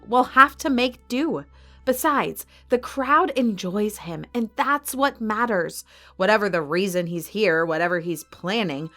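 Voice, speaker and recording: A woman's voice: mid-range voice, fairly bright tone, lightly acted. A woman playing a composed, imperious villain who runs a fantasy fight arena in an audio drama. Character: cold, commanding female villain running a fantasy fight arena. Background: music.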